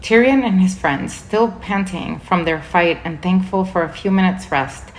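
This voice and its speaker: A woman reading a children's book chapter aloud as a volunteer reader, in a measured, clear way. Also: on the low side, slight background noise, poor audio. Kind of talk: storybook read-aloud narration.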